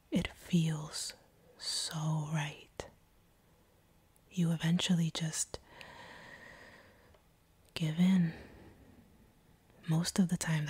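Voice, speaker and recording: A seductive female vampire, played by a woman, toys with captive prey in a low, breathy voice. The delivery is slow and dark, teasing with a sadistic edge. It is an intimate roleplay, murmured right up at the microphone. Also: no echo, exaggerated voice. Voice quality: breathy whisper. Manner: slow, teasing, predatory purr. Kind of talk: intimate whispered audio roleplay. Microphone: close microphone, dry.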